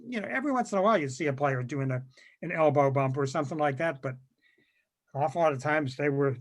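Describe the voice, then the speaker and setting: Male panelist, slightly high-pitched voice, rambling casual recollections over a video call. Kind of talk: casual anecdotal chat.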